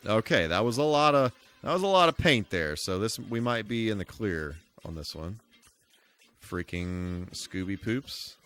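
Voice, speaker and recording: Animated man live-streaming a video game. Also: lowish voice, a little slow, clear, resonant tone. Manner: mild folksy exclamations, mock-exasperated asides. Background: music.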